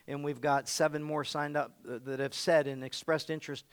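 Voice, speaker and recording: Male pastor, energetic sermon, high bright voice, playful then shouting, dry close sound. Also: a little fast, clear, resonant tone, no echo.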